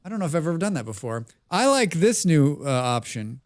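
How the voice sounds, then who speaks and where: A man's voice: fairly high pitch, very animated, a little fast. An American man chatting casually with his viewers while playing a game on a live stream, reading and answering their comments. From United States.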